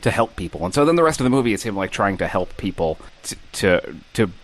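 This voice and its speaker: A man's voice: fairly low pitch, big pitch swings, fast talker. A man co-hosting a casual podcast, wry and rambling as he retells a film. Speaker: podcast co-host.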